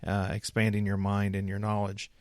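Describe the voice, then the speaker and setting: A man's voice: lowish voice, monotone, normal speed, no echo, clean studio sound. Upbeat, chatty man hosting a podcast. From United States. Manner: upbeat and chatty, laughs easily. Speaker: podcast host. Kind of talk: casual podcast interview.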